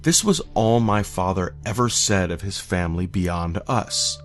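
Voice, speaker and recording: Male horror narrator, lowish register, measured and solemn delivery, faint eerie music bed underneath. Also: a bit bright. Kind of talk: scripted first-person horror narration. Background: eerie background music.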